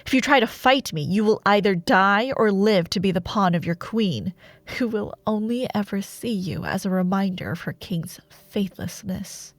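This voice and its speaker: A woman playing a seductive, ruthless female dark warlord in a fantasy audio roleplay, speaking deliberately with silky menace and breathy murmurs. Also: medium pitch, animated, no room sound, lightly acted. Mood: angry, commanding. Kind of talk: dramatic fantasy audio roleplay monologue, ASMR.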